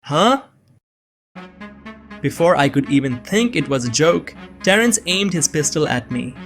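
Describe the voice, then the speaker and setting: A man as a fairly high-voiced young man, earnest, uneasy, measured first-person visual novel narration, faint music. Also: animated, creaky, fairly bright tone, exaggerated voice. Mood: shocked, anxious.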